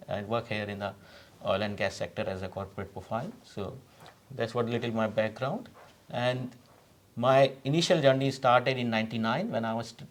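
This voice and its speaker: A man from India with an Indian accent and Braj Bhasha as his mother tongue, giving a personal account as an interview guest. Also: lowish voice. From Rajasthan, India. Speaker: community representative, interview guest. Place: TV studio.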